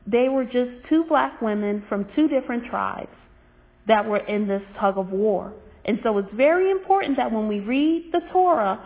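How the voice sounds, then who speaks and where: A woman giving a teaching sermon in an earnest, measured way. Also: a little high. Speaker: religious teacher. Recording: tinny phone-like audio.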